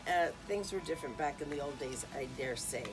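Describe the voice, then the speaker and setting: A woman's voice: sits low, lively intonation, brisk, low-quality recording. A woman hosting an informal talk on music history, rambling and chatty with many ums and uhs. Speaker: host.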